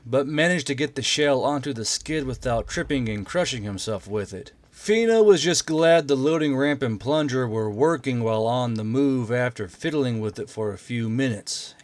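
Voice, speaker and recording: A man's voice: mid-range voice, no echo. A man reading scripted story narration as an audiobook narrator.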